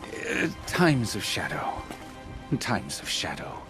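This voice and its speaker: An actor playing a solemn, brooding tribesman in a video game, slow and gravelly. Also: low-pitched, wildly swooping pitch, music in the background, exaggerated voice.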